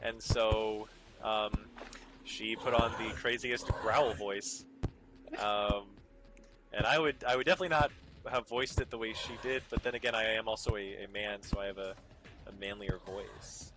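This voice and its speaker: A man hosting a gameplay video, chatting easily and reacting out loud to the game. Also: fairly low pitch, fairly even intonation, moderate pace, background noise. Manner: easygoing, reacts aloud to the game. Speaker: let's play host. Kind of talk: casual gameplay chat. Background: game music.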